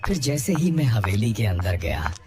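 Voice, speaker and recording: Low-pitched male horror story narrator. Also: sing-song, moderate pace, faint music behind. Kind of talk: scripted first-person horror narration.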